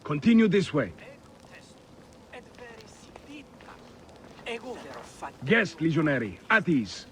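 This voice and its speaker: An actor playing a stern, dutiful Roman legionary in a video game cutscene, clipped and formal. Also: male voice, a little high, very animated, moderate pace. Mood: brisk commands.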